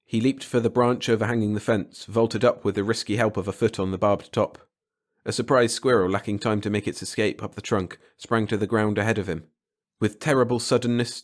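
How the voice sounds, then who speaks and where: A man reading a short story aloud as a dramatic, scripted audiobook narration. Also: lowish voice.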